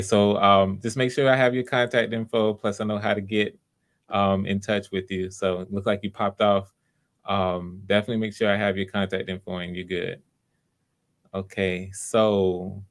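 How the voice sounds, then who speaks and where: Low, creaky-voiced male livestream host. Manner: encouraging, informal, hesitant as he improvises. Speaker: audition host. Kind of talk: casual livestream hosting.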